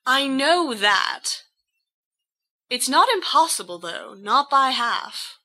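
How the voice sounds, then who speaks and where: Woman doing a hearty schoolboy ringleader, confident, very sharp bright tone, unhurried, audio fiction. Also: high-pitched, animated, full character voice. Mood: confident, jovial. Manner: hearty, posh schoolboy slang. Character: confident, jovial, challenging teenage schoolboy ringleader. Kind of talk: amateur audio fan fiction reading.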